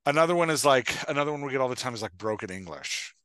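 A man in his thirties co-hosting a podcast over a video call on a headset mic, speaking emphatically and then dropping quiet. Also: mid-range voice, lively intonation, fast, smooth, dry recording. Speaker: podcast co-host. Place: home room.

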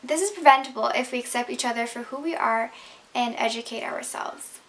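A woman's voice: a little high, brisk, a bit bright. A young Canadian woman, Urdu her first language, earnestly explaining a prepared talk to camera from her bedroom. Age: young adult. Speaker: speaker. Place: bedroom, indoors.

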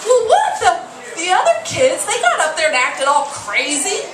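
A woman voicing a brash, defensive middle-aged father in a live story, loud and exclaiming. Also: extremely high pitch, wildly swooping pitch, moderate pace, far from the mic, noisy background, voice pushed up, full character voice. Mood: indignant, defensive. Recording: roomy stage PA heard from off, music.

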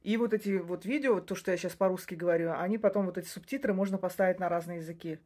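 A woman's voice: fairly low pitch, steady tone, fast talker, a little mellow. A woman in her forties, a native Russian speaker, talking casually to her phone camera in a small indoor room. She rambles, restarts sentences and laughs briefly between points. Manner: chatty, rambling, frequent short laughs and restarts. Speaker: social media creator. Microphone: phone camera close up.

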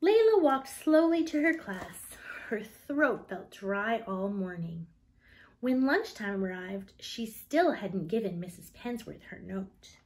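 A woman in her thirties reading a children's picture book aloud in an expressive, deliberate manner. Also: mid-range voice.

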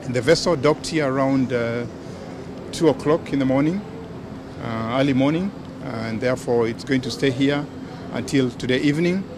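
A Kenyan-accented man speaks for the port authority into a cluster of press microphones outdoors. He talks in a measured way at a mid pitch, with clear port noise around him. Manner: measured, with frequent fillers. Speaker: port official. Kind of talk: press statement. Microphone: bunch of handheld press mics.

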